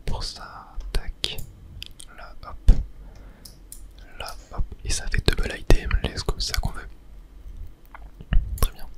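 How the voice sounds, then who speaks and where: An ASMR streamer whispering gameplay commentary in a breathy, unvoiced voice, murmuring thoughts aloud. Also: slow, pauses often.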